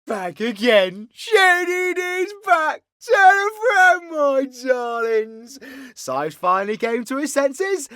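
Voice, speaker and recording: A man's voice: very high voice, vocal fry, fairly bright tone, no echo, studio quality, pitched above the performer's own voice, full character voice. A British man voicing a manic, cheeky, foul-mouthed supervillain in an audio roleplay, with a theatrical, gleeful, mocking delivery. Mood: gleeful, boastful. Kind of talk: audio roleplay character monologue.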